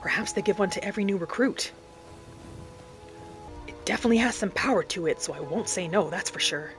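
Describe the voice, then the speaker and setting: A woman's voice: medium pitch, fairly quick, fairly bright tone, soft background music. A woman giving in-character commentary on a roleplay game, musing and asking questions as she goes. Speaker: gamer, host of roleplay playthrough.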